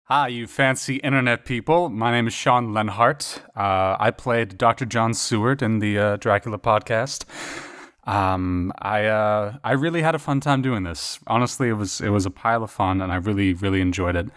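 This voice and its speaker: Male podcast actor, lower register, relaxed wording, informal and appreciative recorded remarks. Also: normal speed. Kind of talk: casual recorded message.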